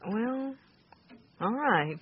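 A woman's voice: on the high side, expressive, extremely slow, slightly rough, exaggerated voice. An actress playing a caring, anxious wife and mother in a radio drama. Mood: gentle, relieved. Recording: muffled radio recording with faint noise.

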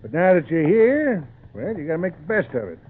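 An actor playing an old, plainspoken, protective wagon driver with folksy rustic speech in an old radio drama. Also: male voice, on the high side, very animated, brisk, husky. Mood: kindly advising. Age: older. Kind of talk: 1940s radio acting. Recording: noisy, tinny old radio broadcast.

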